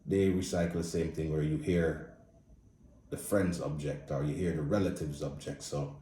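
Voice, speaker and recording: A man's voice: very deep voice, steady tone, moderate pace, dark tone, roomy sound, far from the mic, slight background noise. A man with a Jamaican accent giving a blunt, profane, casual movie review. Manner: blunt, profane, speaks straight with no filter. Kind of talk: casual opinionated review monologue.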